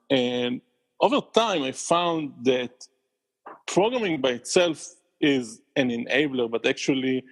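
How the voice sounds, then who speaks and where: A man with an Israeli accent, a company founder, answering questions in a podcast interview with thoughtful, halting replies and frequent laughs. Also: medium pitch, gravelly, poor audio. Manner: thoughtful, many restarts, laughs often.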